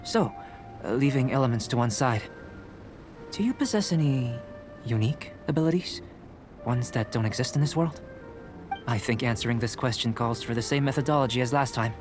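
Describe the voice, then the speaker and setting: A man playing a composed young alchemist in a video game, speaking in a measured, formal, analytical manner. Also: medium pitch, lively intonation, music in the background, close to an everyday voice. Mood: curious, composed. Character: calm, courteous young male alchemist and researcher. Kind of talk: video game character dialogue.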